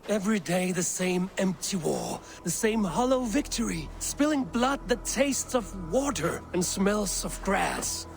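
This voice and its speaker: An actor playing a resolute, loyal Viking warrior in a video game, speaking in a solemn, formal way. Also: male voice, high-pitched, animated, unhurried, gravelly, hardly any pauses, heavily acted. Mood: urgent, pleading. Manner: solemn, earnest, formal phrasing. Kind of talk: dramatic video game acting. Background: game music.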